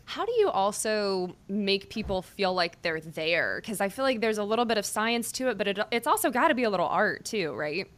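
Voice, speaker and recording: A woman's voice: medium pitch, animated, moderate pace, hardly any pauses, fairly bright tone. A young woman, a sports reporter hosting a podcast, asking questions in a casual, filler-heavy way. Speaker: beat reporter, podcast host. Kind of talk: casual interview chat.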